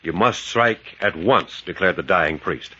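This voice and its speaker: An actor playing a bold, intense adventurer captain, narrating and acting dramatically in 1940s radio style. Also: male voice, sits low, lively intonation, unhurried, pushed and bright, husky, exaggerated voice. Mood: grave. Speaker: hero and narrator. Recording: dull, noisy vintage radio recording.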